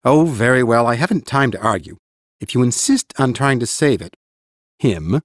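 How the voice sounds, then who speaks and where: An actor playing a stern but kindly mage teacher in an audiobook, with a measured, instructive, dryly practical manner. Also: male voice, medium pitch, lively intonation, no echo, studio quality, lightly acted. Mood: resigned. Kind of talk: full-cast audiobook dialogue.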